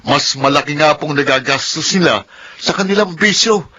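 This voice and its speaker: A man's voice: a little high, normal speed, sharp tone, husky. An actor playing a brash young Filipino man, a defiant, self-justifying swindler in a radio drama. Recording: dull lo-fi radio drama audio.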